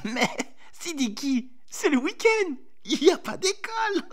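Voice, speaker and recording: A man's voice: extremely high pitch, big pitch swings, a little slow, fairly bright tone, voice pushed up, exaggerated voice. A man voicing a young schoolboy in a children's storybook, hesitant and childlike. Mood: amused. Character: cheerful, slightly nervous young schoolboy.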